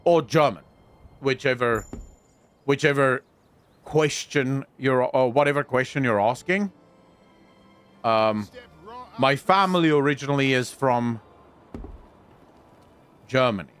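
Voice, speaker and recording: A man's voice: medium pitch, slow, long pauses, fairly bright tone, clean tone, soft background music. A South African man streaming a game, chatting casually with viewers and swearing now and then. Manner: chatty, swears casually, reads and answers chat. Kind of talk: casual gameplay livestream chat.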